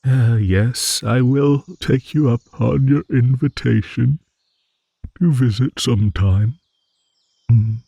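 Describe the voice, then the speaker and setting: A man's voice: mid-range voice, takes it slowly, gravelly, warm tone, breathy edge, dry recording, heavily acted. A man voicing an ancient, good-natured old dragon who rambles and muses in a fantasy audio roleplay. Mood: drowsy, courteous. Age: older. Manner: rambling, musing, with hums and chuckles.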